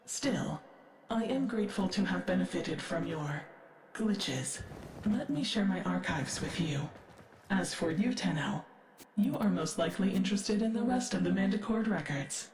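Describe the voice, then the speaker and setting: A woman voicing a calm, curious, analytical artificial-intelligence archivist in a video game, detached and formal. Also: on the low side, a little slow, slight background noise. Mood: detached curiosity. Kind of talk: video game character acting. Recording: echoing voice with faint game music.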